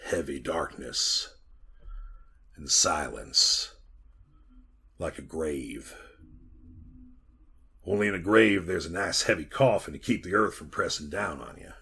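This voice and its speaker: A weathered Montana miner in an old-time radio drama. His voice is low, rough and husky with a forceful edge. He spins his tale in a folksy, rambling way, as if to a partner. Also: exaggerated voice. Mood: haunted, brooding. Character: weathered bearded Montana copper miner, 34, haunted yarn-spinner. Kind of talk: retro 1940s radio drama monologue.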